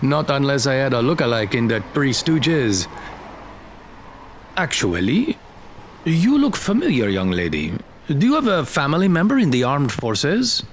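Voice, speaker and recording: A man's voice: mid-range voice, big pitch swings, a little slow, slight background noise. A man playing a genial, probing middle-aged Air Force colonel in an audio drama, courteous and a little hesitant. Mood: friendly, amused.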